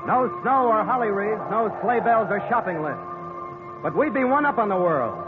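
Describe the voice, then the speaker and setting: A man's voice: high voice, animated, moderate pace, over music. A man narrating as a ship's captain in an old radio drama, wry and dry. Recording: tinny old radio recording.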